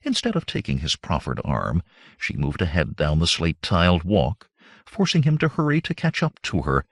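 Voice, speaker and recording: A man reading adventure fiction aloud as an audiobook narrator, in measured, expressive storytelling. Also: low-pitched, dry recording, studio quality.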